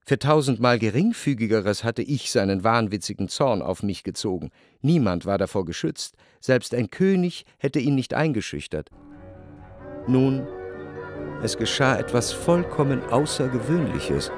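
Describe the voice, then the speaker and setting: An actor playing a reflective, well-to-do narrator in a radio play, telling of society life in measured, deliberate phrases. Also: male voice, medium pitch, very animated. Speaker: first-person narrator of a literary radio drama. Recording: dry studio with clear music. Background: music.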